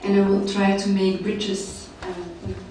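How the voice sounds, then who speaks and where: A woman's voice: mid-range voice, completely flat delivery, measured pace, over music. Hesitant woman presenting in an echoey hall. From Belgium. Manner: hesitant, many fillers and restarts. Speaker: researcher presenting at a summer school. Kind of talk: academic conference presentation. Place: large lecture hall, seated at a panel table. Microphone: table mic, recorded by a far camera. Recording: very echoey hall, voice far from the mic.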